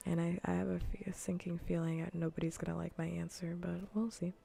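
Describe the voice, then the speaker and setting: A woman streamer chatting casually in a soft, breathy ASMR voice that often drifts into whispers, relaxed and rambling, close to the mic. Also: on the low side, fast talker. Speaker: livestreamer hosting a cozy gaming stream. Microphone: close ASMR microphone.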